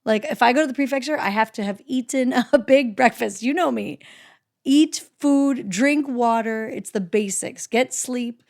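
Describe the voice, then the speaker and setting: A woman's voice: fairly high pitch, normal speed, creaky, a little mellow. A woman co-hosting a podcast, giving casual, encouraging advice and laughing often. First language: English. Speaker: podcast co-host. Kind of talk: casual chat.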